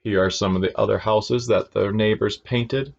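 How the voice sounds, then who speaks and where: A man reading a children's storybook aloud in an expressive storytelling style for young listeners. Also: fairly low pitch, moderate pace, gravelly, muffled recording.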